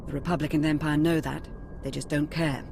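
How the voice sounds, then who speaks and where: A woman's voice: sits low, level tone, fast talker, vocal fry, darkish tone, slight background noise. A woman playing a cool, capable sci-fi field agent in a video game, composed, precise and serious. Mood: matter-of-fact. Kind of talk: video game cinematic acting.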